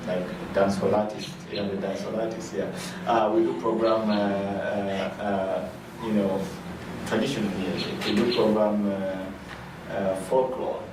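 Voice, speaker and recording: A man who directs an arts foundation, answering interview questions with many fillers and restarts. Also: on the low side. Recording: echoey room, mic far off, noisy.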